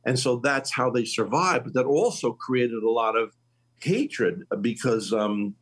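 Older man in his late sixties, husky voice, talk-show host, animated storytelling. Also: mid-range voice, unhurried. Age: 60s. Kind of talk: casual faith conversation.

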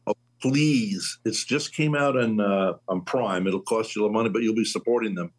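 A husky man in his late sixties hosts a relaxed conversation about faith. He tells stories with sudden leaps in pitch and an easy, chatty pace. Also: moderate pace. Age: 60s. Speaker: host.

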